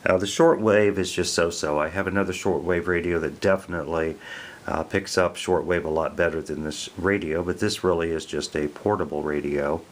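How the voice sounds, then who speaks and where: An American man giving a casual product review, explaining things in a plain, unhurried way. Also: deep voice, fairly even intonation, slight background noise. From American Midwest.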